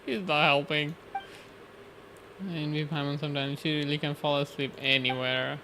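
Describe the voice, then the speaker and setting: A man's voice: fairly high pitch, little pitch movement, normal speed, sharp tone, rough recording. A man playing a game on stream, casually reading dialogue aloud and commenting, sometimes stumbling over the words. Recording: noticeable background noise.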